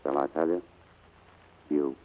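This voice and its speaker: A man's voice: rumbling low, fairly even intonation, unhurried, a little mellow, slight background noise, rough recording. An actor playing a breezy, flirtatious, self-assured male pilot in 1940s radio drama style. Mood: tender, flirtatious. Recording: old 1940s radio broadcast, music behind.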